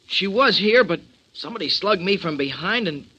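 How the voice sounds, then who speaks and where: An actor playing an eager, flustered, streetwise sidekick in a 1940s radio drama, pleading earnestly in slangy talk. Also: male voice, sits high, expressive, moderate pace, sharp tone, husky, muffled recording, heavily acted. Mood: pleading, defensive.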